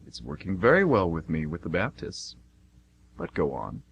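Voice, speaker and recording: A man's voice: deep voice, huge pitch swings, normal speed, vocal fry, fairly bright tone, exaggerated voice. A man playing God as a smug, jovial, teasing deity in a comedic amateur radio play, breezy and fond of puns. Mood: amused, skeptical. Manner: breezy, self-satisfied, punning. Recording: noisy amateur radio recording with hum.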